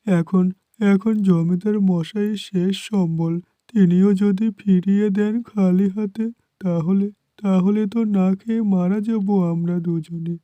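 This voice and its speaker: A man voicing a poor, desperate village farmer in a cartoon, pleading and haltingly repeating his words. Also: high voice, fairly even intonation, mellow, dry recording, dull audio. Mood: worried, dejected.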